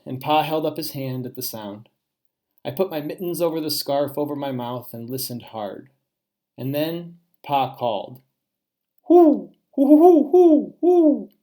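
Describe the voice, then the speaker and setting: A man, a teacher, reading a children's storybook aloud in an expressive way with dramatic pauses. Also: mid-range voice.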